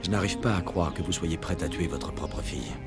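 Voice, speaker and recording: Low voiced military commander in a game, clipped questions, music under it. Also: male voice, fairly quick. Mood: guarded, firm. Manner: terse, businesslike. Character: steady commanding male military officer, interrogating. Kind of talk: dubbed video game dialogue. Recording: music behind the voice.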